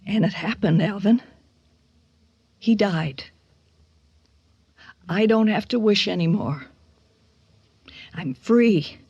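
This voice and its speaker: Haunted bitter mother, radio drama monologue, gravelly edge, halting delivery, dry close sound. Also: medium pitch, darkish tone, dry recording, highs cut off. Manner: halting, breaking into whispers and cries. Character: bitter, haunted mother of a son she fears.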